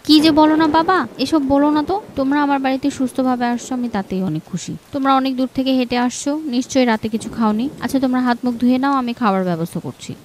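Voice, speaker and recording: Woman acting an anxious, higher-voiced caring daughter. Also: expressive, rapid-fire, few pauses, a little mellow. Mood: relieved, caring. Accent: Bangladeshi. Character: worried, caring married daughter in a cartoon. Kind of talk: cartoon dialogue and storytelling. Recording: faint background noise.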